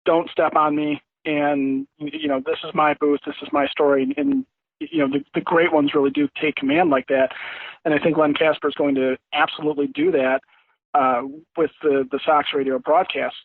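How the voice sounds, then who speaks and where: Male sports guest, phone-quality and dry, higher-pitched, talks through analysis in a loose, rambling way. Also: lively intonation, moderate pace, gravelly, dry recording. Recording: thin, dry phone-like line.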